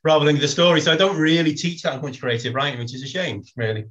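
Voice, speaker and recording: A man's voice: a little high, fairly even intonation, quick pace, a bit bright, highs cut off. A man, a poet guest who chats wryly and reads a comic poem with playful timing. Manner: wry, self-deprecating, reads verse with playful timing. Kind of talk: casual chat and poetry reading.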